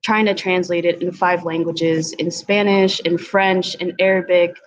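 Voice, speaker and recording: A woman's voice: lowish voice, steady tone, unhurried, rough recording. A young woman whose first language is Tagalog, presenting at a virtual community event in a hesitant way with many ums. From Philippines. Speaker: community organizer.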